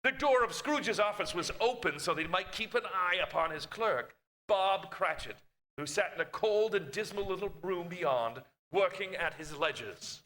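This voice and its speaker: A man narrates a classic tale on a live stage, savoring every word and leaning hard on each list of adjectives. His voice is high, animated and bright. The delivery is theatrical, in the manner of old radio plays. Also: moderate pace. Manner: relishing, storytelling, emphatic.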